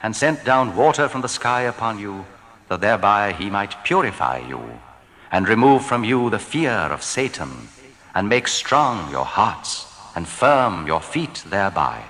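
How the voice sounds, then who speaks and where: A man reads scripture in a fairly low but forceful, bright voice. His delivery is solemn, formal and deliberate, declaimed into a long reverb. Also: sing-song. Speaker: narrator of scripture translation. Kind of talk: solemn scripted reading of archaic scripture translation.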